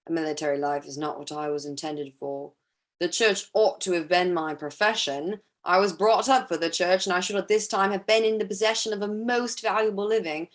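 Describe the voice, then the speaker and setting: A woman playing a charming, aggrieved young gentleman in a staged period drama reading, confiding and theatrical. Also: on the low side, natural voice. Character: Mister Wickham, charming aggrieved young gentleman, smoothly self-pitying.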